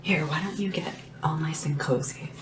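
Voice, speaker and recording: A woman playing a gentle, devoted young sleep assistant in a fantasy ASMR roleplay, hushed and breathy, soothing and attentive. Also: sits low, far from the mic, background noise, natural voice. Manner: soothing, attentive, earnest, unhurried. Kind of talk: soft-spoken and whispered ASMR roleplay.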